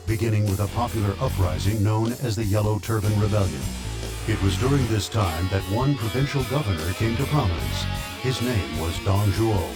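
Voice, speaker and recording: An actor as the grave, formal narrator of a historical war epic in a video game. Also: male voice, fairly low pitch, close to an everyday voice. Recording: loud music under narration.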